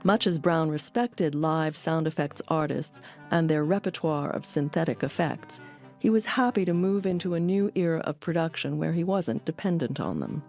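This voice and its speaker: Woman doing scripted documentary narration, kinda low voice, clear music behind her. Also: lively intonation, moderate pace, darkish tone. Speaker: documentary host. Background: music under the voice.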